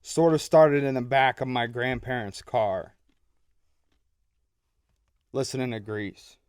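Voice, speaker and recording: A young adult man, a guest rapper, talking in a relaxed, rambling way with frequent "like"s and easy laughter. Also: mid-range voice, slow, smooth, dry recording, clean studio sound. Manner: laid-back, laughs often, trails off with "like". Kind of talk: casual chat.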